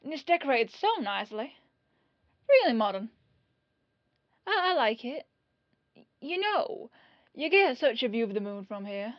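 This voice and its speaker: A woman's voice: fairly high pitch, sing-song, moderate pace, pauses often, no echo, highs cut off. A woman playing a humble, apologetic police detective in an ASMR roleplay, chatty and rambling in a disarmingly casual way. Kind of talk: ASMR roleplay audio drama.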